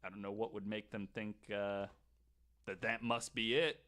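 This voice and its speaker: A man hosting a podcast, telling stories casually in a halting, conversational way. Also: fairly low pitch, level tone, fairly bright tone. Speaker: podcast host. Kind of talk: casual storytelling and chat.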